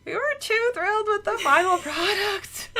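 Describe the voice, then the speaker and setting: A woman co-hosting a casual podcast, chatting and recapping a story with a relaxed, talky manner. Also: extremely high pitch, slight background noise. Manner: chatty, recaps with many "like" fillers. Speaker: podcast co-host. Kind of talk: casual chat.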